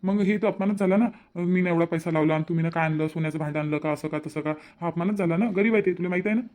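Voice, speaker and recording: Guy doing a decent family man in a cartoon, varhadi marathi accent, highish voice, talks quick. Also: darkish tone, natural voice. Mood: reproachful. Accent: Varhadi (Vidarbha) Marathi. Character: principled family man, firm and conciliatory son. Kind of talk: cartoon family drama acting.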